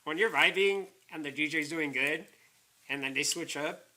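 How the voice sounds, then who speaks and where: A man with a slightly raspy voice, talking casually as a guest DJ in an interview. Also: fairly high pitch, normal speed, pushed and bright, low-quality recording. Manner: casual, filler-heavy with "it's like".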